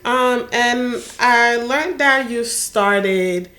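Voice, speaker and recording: A woman's voice: fairly high pitch, drawn-out words, pushed and bright, reverberant. A young woman hosting an interview, casual and slangy, stumbling over her words at times. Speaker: host.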